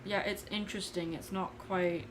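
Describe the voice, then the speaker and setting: A woman's voice: medium pitch, fairly even intonation, normal speed, noisy background. A woman livestreaming as an artist, giving casual, unscripted commentary on her drawing and thinking aloud in musing fragments.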